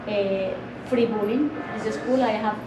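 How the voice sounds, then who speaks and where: A woman from Colombia, a native Spanish speaker with a Colombian accent, speaking as a Spanish teacher at a school open house, halting and restarting her sentences. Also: mid-range voice, fairly even intonation, background noise. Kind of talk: open-house classroom talk. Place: school classroom. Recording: echoey room from far off, loud music behind.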